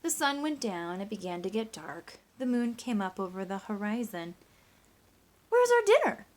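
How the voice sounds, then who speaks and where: Female teacher, mid-pitched voice, expressive read-aloud, step-by-step explaining, questions to young listeners. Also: normal speed. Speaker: teacher reading a picture book aloud to pupils. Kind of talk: expressive storybook read-aloud mixed with simple math teaching.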